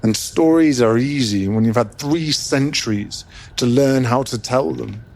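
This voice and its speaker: A man playing a centuries-old, guilt-ridden vampire in a whispered audio drama, speaking in a soft, measured way. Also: mid-range voice. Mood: rueful. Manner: soft, breathy, measured delivery.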